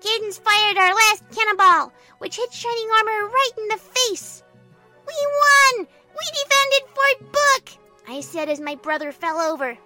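Very high, excitable little girl. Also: normal speed, few pauses, over music. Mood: excited, commanding. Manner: gleeful, childlike storytelling. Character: giggly, excitable little girl narrating her play battle. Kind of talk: storybook narration in character.

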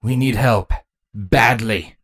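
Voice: medium pitch, normal speed, voice pushed up, close to an everyday voice. A storyteller playing a blunt, battle-weary male changeling captain in a fantasy story.

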